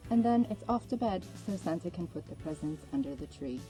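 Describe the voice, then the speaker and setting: A woman's voice: on the low side, lively intonation, fairly quick, mellow, soft background music. An American woman talking cheerfully to camera. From United States. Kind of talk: casual self-introduction to camera.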